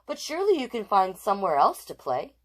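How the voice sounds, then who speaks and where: A determined young heroine from a children's storybook , as a woman reader plays her. She is earnest and helpful, speaking emphatically at a raised pitch. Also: huge pitch swings, a little fast. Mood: dismayed.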